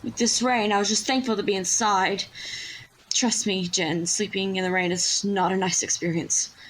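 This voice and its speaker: A woman's voice: mid-range voice, steady tone, moderate pace, a little hiss or hum, natural voice, rough recording. A woman playing a chatty, friendly young working-class factory worker in an audio drama.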